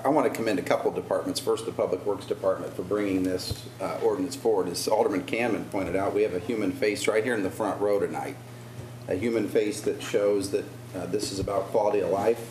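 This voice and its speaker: A man's voice: low-pitched, fairly even intonation, a little fast, a little mellow, echoey room, a little hiss or hum, poor audio. A man alderman giving earnest remarks that commend city departments at a council meeting. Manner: appreciative, earnest.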